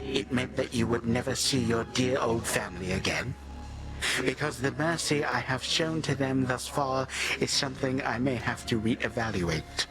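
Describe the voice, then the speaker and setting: A man's voice: mid-range voice, normal speed, music in the background, close to an everyday voice. An actor playing an ancient, suave Titan grandfather in a video game, courtly, condescending and menacing in his delivery. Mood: cold threat. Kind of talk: theatrical video game villain acting.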